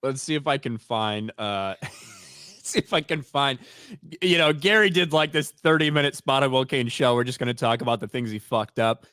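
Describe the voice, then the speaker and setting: A man's voice: medium pitch, lively intonation, moderate pace, bright, forceful tone, clean tone, no echo. A man hosting a casual live stream, reading out viewer messages and riffing on them, swearing freely. His voice sits in an ordinary middle range, close to the mic and dry. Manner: reads viewer super chats aloud, swears freely. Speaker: show host.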